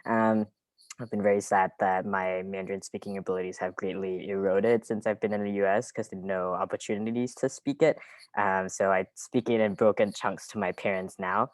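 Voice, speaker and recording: Very low-voiced earnest speaker, dry sound. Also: male voice, fairly even intonation, moderate pace, no room sound, low-quality recording. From Singapore. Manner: earnest, reflective, with frequent ums. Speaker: helpline co-founder. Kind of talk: introduction on a group video call. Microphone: video call.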